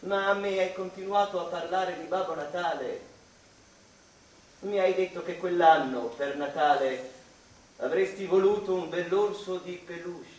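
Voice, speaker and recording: Mother reminiscing about her son, played by a man, measured radio-theatre phrasing, fairly high male pitch, echoey with faint noise. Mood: tender reminiscing. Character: mother recalling her grown son's past. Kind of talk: theatrical radio drama monologue. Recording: distant echoey room with faint background noise.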